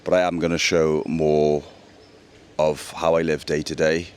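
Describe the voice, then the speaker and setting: A young man with a deep voice, chatting earnestly to camera in a casual vlog. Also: little pitch movement, a little slow, a little mellow. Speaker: vlogger. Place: indoors, then outdoors by a pool.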